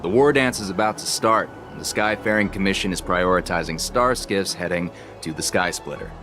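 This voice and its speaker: Man acting a lowish-voiced skiff pilot over music. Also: fairly quick, close to an everyday voice. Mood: businesslike. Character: busy, obliging male star skiff pilot. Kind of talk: conversational video game acting. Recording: music under the voice.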